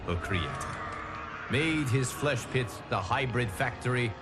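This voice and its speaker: An actor playing a solemn guardian of timelines, narrating a video game story in a formal, measured, dramatic manner. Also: male voice, medium pitch. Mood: grave, ominous. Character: solemn male guardian of timelines addressing his champions. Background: music.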